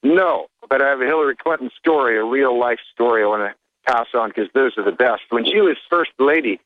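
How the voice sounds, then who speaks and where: A man's voice: medium pitch, fairly quick. A man calling in to a radio show, telling a story in a plain, straightforward way. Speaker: caller. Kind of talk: call-in phone storytelling. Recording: tinny phone line.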